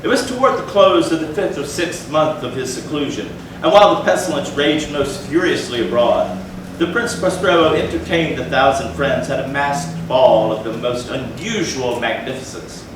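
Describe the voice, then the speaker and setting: A man giving a dramatic stage reading of a gothic story with theatrical, measured phrasing. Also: mid-range voice. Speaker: stage reader. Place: indoor theatre, far from the mic. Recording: echoey theatre from far off, faint noise.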